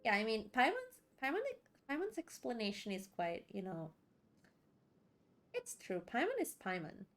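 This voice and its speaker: A woman's voice: on the high side, huge pitch swings, moderate pace, a bit bright. Joking woman streamer over game music. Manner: joking, hesitant, rambling. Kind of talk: casual game commentary. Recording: clear game music behind.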